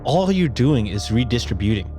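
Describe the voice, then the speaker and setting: A man's voice: medium pitch, very animated, moderate pace, close to an everyday voice. A man playing an earnest, weary and principled superhero who reproaches, in a dramatic story reading. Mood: grave reproach. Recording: background music under the voice.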